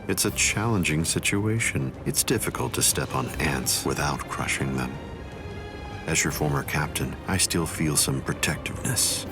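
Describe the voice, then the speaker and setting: A man voicing a calm, coldly condescending villainous captain in an anime game, with measured, composed delivery. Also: a bass voice. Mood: softly menacing. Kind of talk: English voice acting for anime game cutscene. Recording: dramatic music behind the voice. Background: loud music.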